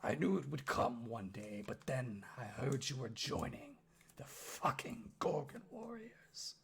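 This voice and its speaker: A man voicing a bitter, menacing orc father with a husky, gruff voice and sneering, hissing delivery in a fantasy story. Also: medium pitch, huge pitch swings, unhurried, fairly bright tone, heavily acted. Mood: bitter, ominous.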